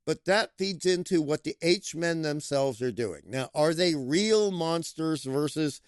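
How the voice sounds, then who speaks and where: A man reviews a film in a playful, animated way. His pitch jumps all over as he drags out words for emphasis. He talks slowly and leans into every pause, and the sound is dry and close. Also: no room sound. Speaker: movie reviewer. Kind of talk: casual enthusiastic film review.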